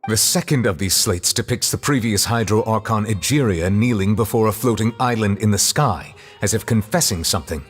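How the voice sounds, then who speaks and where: An actor playing a stern, dignified male chief justice who questions in a formal, measured, grave way, heard as game audio over soft music. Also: fairly low pitch, slightly rough.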